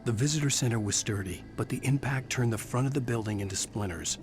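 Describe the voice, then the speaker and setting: A man narrating a horror video game in a measured, brooding voice as the writer lead. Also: medium pitch.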